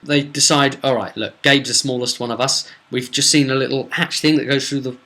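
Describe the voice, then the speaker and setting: A man with a mid-pitched, sharp and bright voice recounts a tabletop role-playing adventure. He speaks as the game master, in an informal storytelling style. He leans on dramatic emphasis to carry the action. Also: fairly even intonation, a little fast. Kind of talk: casual recap narration of a tabletop role-playing session.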